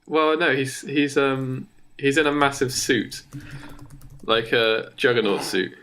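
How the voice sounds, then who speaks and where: A man co-hosting a podcast, casually improvising a story in a halting way, as if thinking aloud. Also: medium pitch, fairly bright tone, slight background noise. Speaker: podcast co-host.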